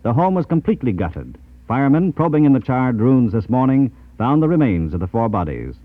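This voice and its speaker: An American man reading scripted news and sponsor messages in a formal, measured 1950s radio announcer style. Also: medium pitch, big pitch swings, dry recording. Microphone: dry radio studio microphone. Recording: tinny, band-limited 1950s radio tape.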